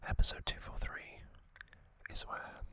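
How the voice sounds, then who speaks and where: A man whispering trivia softly for an ASMR video, close to the microphone, with frequent ums and uhs. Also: measured pace. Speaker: ASMR channel host.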